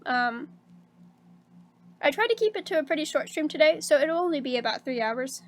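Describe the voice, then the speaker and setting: A bubbly woman streamer with a high voice chats casually into a desk mic, laughing a lot and filling pauses with "um" and "like." Her voice sounds a little hoarse, with a faint hiss of background noise behind her. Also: lively intonation. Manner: bubbly and chatty, laughs often, many fillers like "um" and "like". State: slightly hoarse. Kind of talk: casual stream chat reading out viewer messages.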